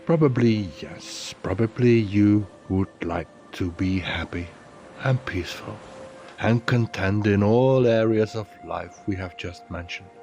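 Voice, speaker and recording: A man narrating a guided meditation in a soothing, deliberate way, leaving pauses between phrases. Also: fairly low pitch, dark tone, highs cut off, soft background music.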